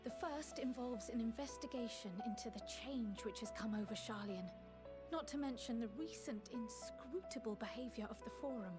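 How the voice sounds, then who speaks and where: Fantasy game scholar-leader, female: small, confident, courteous, well-spoken, higher register woman acting, musical backing. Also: moderate pace, lightly acted. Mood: businesslike, resolute. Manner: polite, articulate, composed. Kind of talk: scripted fantasy game dialogue. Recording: orchestral music behind the voice.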